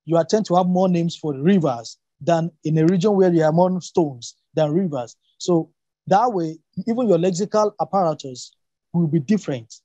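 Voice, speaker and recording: A man's voice: on the high side, moderate pace, warm tone, dry recording, dull audio. A man whose first language is Yoruba, explaining emphatically in a spontaneous academic discussion, often repeating and rephrasing himself and posing rhetorical questions.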